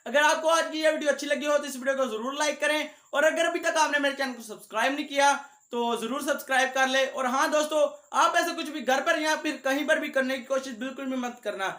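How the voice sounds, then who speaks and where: Young guy doing a vlog, really high voice, bit of an echoey room. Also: fast, sharp tone. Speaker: video creator, host. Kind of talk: vlog talk to viewers.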